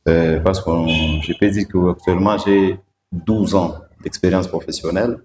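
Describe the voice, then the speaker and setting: A very low-voiced man answers interview questions slowly, pausing often on fillers. His voice rings in an echoey room with a faint hiss of background noise. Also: steady tone, darkish tone. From Democratic Republic of the Congo. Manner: measured, hesitant, frequent "euh" fillers. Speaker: guest, provincial road-safety director.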